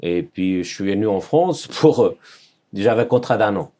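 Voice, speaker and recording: Man, 40s, Serbian accent, very deep voice, earnest storytelling, repeats himself while finding words. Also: expressive, moderate pace, warm tone. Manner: earnest, searching for words in French, repeats phrases. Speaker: podcast guest. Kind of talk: personal storytelling in an interview.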